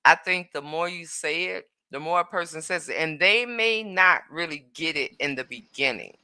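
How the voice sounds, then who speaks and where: A woman talking in a relaxed, conversational way on a podcast. Her voice is bright and crisp, and it sits close to a dry, dead mic. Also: sits low, no room sound. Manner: laid-back, conversational, reads listener comments. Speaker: podcast co-host. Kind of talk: casual chat.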